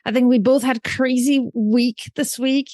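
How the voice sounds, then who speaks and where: A woman from Algeria telling her life story as a podcast guest, animated and laughing often. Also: high voice, a little slow, no room sound, studio quality. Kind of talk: casual interview chat.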